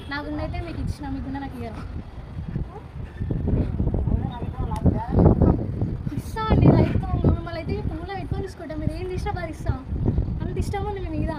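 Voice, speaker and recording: High, fast, lovestruck young woman, acted by a woman. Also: natural voice. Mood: pleading, reproachful. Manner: insistent, coaxing, pleading. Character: lovestruck young woman earnestly confessing love. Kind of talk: prank phone call, acted romantic pleading. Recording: very noisy amateur recording.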